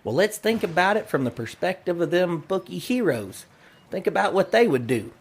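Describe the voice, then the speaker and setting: An actor playing a naive, cheerful cowboy sidekick with an American Old West drawl and a simple, folksy manner in a western comedy audio drama. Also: male voice, high-pitched, very animated, moderate pace, breathy edge, exaggerated voice. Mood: scheming earnestly. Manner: simple, folksy, earnest malapropisms.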